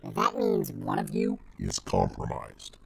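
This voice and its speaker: A man playing an anonymous hacker informant who gives grim, deliberate warnings in an audio drama. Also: high-pitched, expressive, heavy background noise, heavily acted. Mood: ominous warning. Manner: slow, deliberate, ominous.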